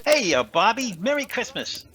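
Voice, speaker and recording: A man's voice: sits high, sing-song, moderate pace, bright, forceful tone, heavily acted. A man playing a casual, friendly pal dressed as Santa's brother in a radio play, informal and a bit hesitant.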